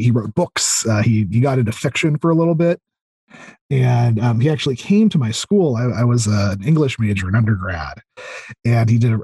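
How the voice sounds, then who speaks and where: Husky-voiced man, halting casual chat. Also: medium pitch, warm tone, no echo. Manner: halting, filler-heavy, enthusiastic explaining. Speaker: podcast guest, audio drama creator.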